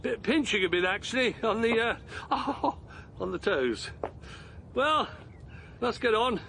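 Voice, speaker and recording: A man's voice: high voice, animated, measured pace, creaky, noisy background, heavily acted. An actor playing a mild, self-deprecating retired man on a long walk, hesitant and chuckling as he talks. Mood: cheerful. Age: older. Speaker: lead character. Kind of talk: radio drama acting.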